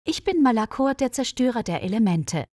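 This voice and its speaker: A woman plays a shadowy storybook villain bent on destruction. The figure is menacing and boastful, with pitch lifted above normal talk, recorded dry. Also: big pitch swings, brisk, darkish tone, no echo. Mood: threatening. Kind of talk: storybook character dialogue.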